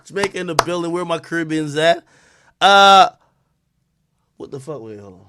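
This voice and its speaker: A man streaming a live reaction, talking in an animated, profane, loud way with bursts of laughter. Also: on the high side, moderate pace, noisy background.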